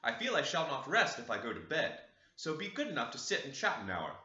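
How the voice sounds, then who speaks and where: A courteous, curious gentleman from a classic novel played by a male reader. His voice is very bright and varied in pitch, with a questioning manner and a roomy echo. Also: wildly swooping pitch, a little fast, heavily acted. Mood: polite, interested. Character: inquisitive, polite gentleman tenant. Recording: roomy, echoey, slightly dull sound.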